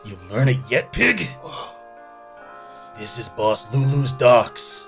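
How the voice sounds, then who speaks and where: An actor playing a crooked, blustering dockside thug in a gritty crime audio drama, talking in tough, slangy lines. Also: male voice, medium pitch, animated, slow pace, vocal fry, fairly bright tone, full character voice. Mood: threatening. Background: music.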